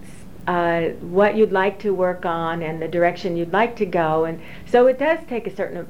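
A woman in her forties, an engineering professor, recalling her career slowly with many fillers and restarts. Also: on the low side. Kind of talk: oral history interview. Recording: dull lo-fi recording with faint hiss.

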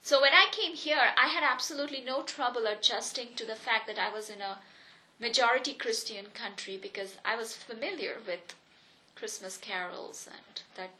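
A woman's voice: on the high side, normal speed, sharp tone, low-quality recording. A woman in her thirties from India, speaking, telling her life story earnestly and reflectively in an interview. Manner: earnest, reflective, with frequent restarts. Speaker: interviewee, economics professor. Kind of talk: personal oral history interview.

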